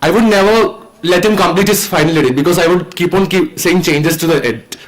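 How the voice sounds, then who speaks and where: Indian guy about 19, kinda high voice, earnest stumbling thank-you speech. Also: poor audio. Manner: earnest, halting. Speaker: student filmmaker. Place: event stage at a film festival.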